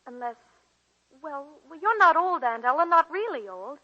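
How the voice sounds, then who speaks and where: High-voiced, sweet young bride-to-be. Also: female voice, big pitch swings, normal speed, pushed and bright, full character voice. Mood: tender, hesitant. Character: sweet, hesitant young bride-to-be, a devoted niece. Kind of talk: 1940s radio drama acting. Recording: dull 1940s radio broadcast.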